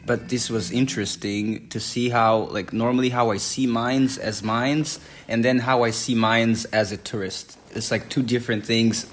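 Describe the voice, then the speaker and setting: A man in his twenties from Rwanda, talking casually in an interview with many fillers and loose, rambling answers. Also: lowish voice. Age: 20s. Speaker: exchange participant.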